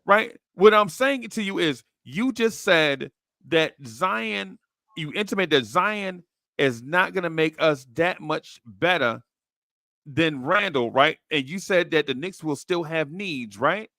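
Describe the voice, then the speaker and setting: A man with a bright, forceful, rather high voice pushes back hard in a casual sports debate, slangy and quick to laugh. His call mic gives a dry, close sound. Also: animated, no room sound. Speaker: podcast host.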